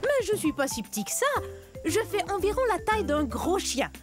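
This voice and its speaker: A woman's voice: very high voice, sing-song, moderate pace, over music. A woman voicing a boastful, playful young plant-eating dinosaur in a children's cartoon, exclaiming and chatting to the viewer. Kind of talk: animated children's educational cartoon acting.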